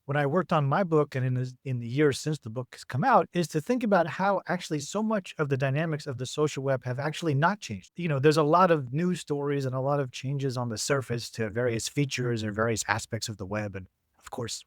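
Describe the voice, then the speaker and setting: A man with a hoarse, gravelly voice from an infection he is getting over, speaking as a guest author on a podcast. He talks at a middling pitch and explains his points in a measured way. The sound is close and dry. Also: dry recording. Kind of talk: casual podcast interview.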